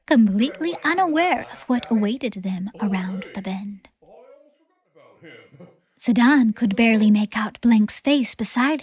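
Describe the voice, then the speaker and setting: A woman's voice: fairly high pitch, a little mellow. A woman reading scripted, dramatic story narration as the narrator of an audiobook. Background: background music.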